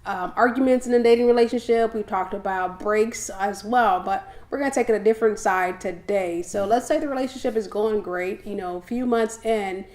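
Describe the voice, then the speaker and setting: A young woman from Haiti co-hosting a casual chat with her husband; she laughs often and gently corrects him. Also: mid-range voice, moderate pace, few pauses. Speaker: podcast co-host. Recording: echoey room.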